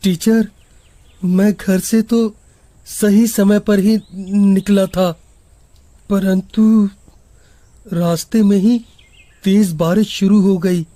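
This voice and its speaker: A man's voice: sits high, fairly even intonation, very slow, pauses often, dark tone, no room sound. A man voicing an anxious, pleading young schoolboy in a storybook horror tale, with an earnest delivery. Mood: apologetic. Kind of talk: animated story dubbing in Hindi.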